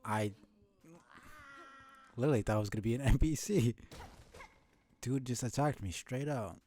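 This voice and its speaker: A man's voice: mid-range voice, moderate pace, warm tone, a little hiss or hum. A man doing casual live commentary as he plays a video game, muttering to himself with mild swearing.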